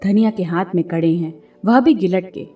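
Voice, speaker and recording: A woman giving an expressive, scripted narration of a classic story, like an audiobook reader. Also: lowish voice, normal speed, recorded from a distance. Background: background music.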